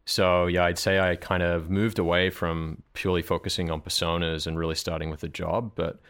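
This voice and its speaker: Deep-voiced man explaining marketing strategy. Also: little pitch movement, normal speed, fairly bright tone, clean tone. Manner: thoughtful, explanatory, frequent ums and uhs. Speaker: guest, marketing director. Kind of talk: conversational podcast interview.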